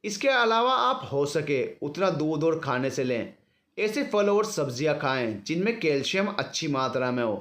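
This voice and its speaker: A female doctor, played by a male narrator in an audio story. The delivery is polite and reassuring, explaining patiently in a slightly high, varied voice. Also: big pitch swings, normal speed, fairly bright tone.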